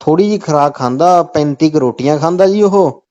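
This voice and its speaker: Punjabi guy on a dull lo-fi phone call, kinda high voice, pushy, keeps repeating himself. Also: fairly quick, dark tone. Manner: insistent, repeats stock phrases. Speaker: caller in a comic phone call. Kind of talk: comic phone call, conversational skit.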